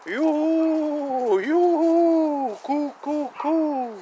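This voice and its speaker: Man as a storybook pigeon, very high pitch, soft hooting coos, gentle, musical, noisy outdoor recording. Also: extremely slow, full character voice. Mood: gentle calling. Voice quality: hooting, cooing. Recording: outdoor recording with clear ambient noise.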